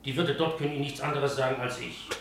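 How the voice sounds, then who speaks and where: An actor playing a stern, formal café innkeeper with an emphatic, curt way of talking, in a German radio play. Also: male voice, mid-range voice, steady tone, fast, vocal fry, a bit bright. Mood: stern, warning. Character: surly, stern café innkeeper, fussily polite to regulars. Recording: roomy echo, background noise.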